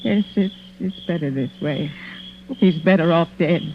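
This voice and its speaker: A woman playing a distraught, guilt-ridden older aunt in an old radio thriller, halting and sorrowful. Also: fairly low pitch. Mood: sorrowful confession. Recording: dull, noisy vintage radio recording.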